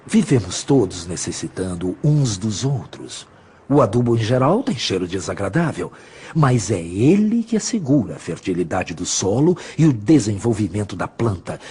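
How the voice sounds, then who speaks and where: An actor playing a wise, gentle spiritual mentor in an audiobook drama, speaking in a solemn, measured, teacherly way. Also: male voice, sits low, slight background noise. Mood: compassionate. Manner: solemn, measured, didactic, deliberate phrasing.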